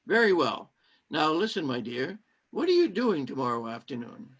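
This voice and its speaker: An actor in a radio play playing a controlling, secretive saboteur who poses as a kindly cinema owner and gives insistent orders. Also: male voice, on the high side, sing-song, moderate pace, breathy edge. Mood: guarded, suspicious. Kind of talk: British-set radio play acting. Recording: dull lo-fi streamed audio.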